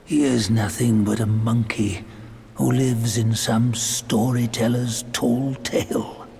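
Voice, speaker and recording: An actor playing a knowing old storyteller in game narration, gravelly and measured with dramatic pauses. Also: male voice, medium pitch, fairly even intonation, a little mellow, slight background noise. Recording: music behind the voice.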